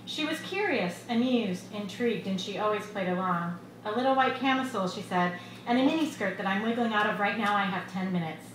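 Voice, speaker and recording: A middle-aged woman reading her own short fiction aloud at a live event, in a measured, expressive storytelling delivery. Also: medium pitch. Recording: echoey bar room with loud background noise.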